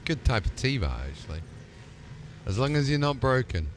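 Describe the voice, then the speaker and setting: A man plays a spaceship commander in a casual game stream. His intonation is lively and varied as he chats in role, with clear ship noise in the background. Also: medium pitch, normal speed. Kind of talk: casual role-play chat with game AIs.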